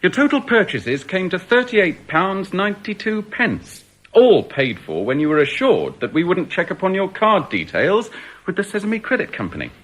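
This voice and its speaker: Insistent, courteous male detective, formal delivery with lively rise and fall, radio play. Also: sits high, moderate pace, full character voice. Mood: accusing, methodical. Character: firm, coolly polite male store detective. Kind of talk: radio drama acting.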